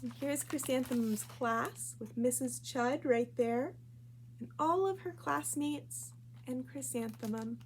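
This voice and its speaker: A woman's voice: high voice, slow pace, a little hiss or hum, poor audio. A woman reading a children's storybook aloud expressively as a library storyteller.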